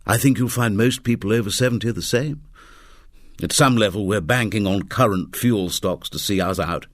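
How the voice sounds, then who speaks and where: A man voicing a moralizing, calmly fatalistic man over seventy in a story, with a peaceable, sardonic, unhurried manner. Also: fairly low pitch, dry recording, studio quality, close to an everyday voice. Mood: resigned. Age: 70s.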